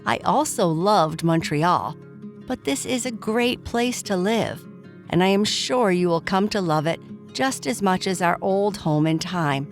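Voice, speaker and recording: Woman as a gentle, sceptical mother. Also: fairly low pitch, lively intonation, unhurried, soft background music. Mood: reassuring. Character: gentle, sceptical, reassuring mother of a young girl. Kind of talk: children's bedtime storytelling.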